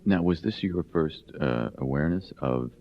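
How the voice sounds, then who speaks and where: A man's voice: a bass voice, animated, moderate pace. A man asking interview questions in a hesitant way. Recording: distant, dull off-mic sound.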